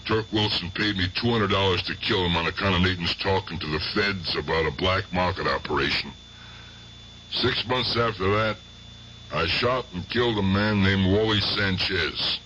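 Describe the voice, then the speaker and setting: An actor playing an aging, guilt-ridden gangster in a radio drama, with rough, halting American speech. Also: male voice, deep voice, pushed and bright. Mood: grim confession. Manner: rough colloquial speech, halting, muttering to himself. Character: aging guilt-tormented gangster, gruff but fond uncle. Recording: dull, noisy old radio drama recording.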